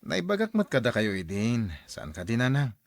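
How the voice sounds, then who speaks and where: A man's voice: mid-range voice, sing-song, moderate pace, vocal fry, no echo. A man playing a frank adult son who questions and reproaches his mother in an Ilocano radio drama, with an Ilocano accent. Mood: pleading.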